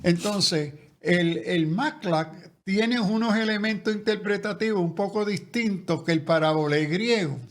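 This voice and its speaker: Slow, careful pastor explaining theology. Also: male voice, high voice. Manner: slow, careful, lecturing with restarts. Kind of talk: explanatory interview answers.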